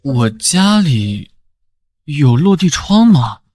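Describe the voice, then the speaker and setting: A man voicing a flustered, cheeky young man in an animated romance drama, with theatrical, exclamatory delivery. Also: on the high side, slow pace, breathy edge, heavily acted. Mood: groggy, puzzled. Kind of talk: animated drama acting with inner monologue.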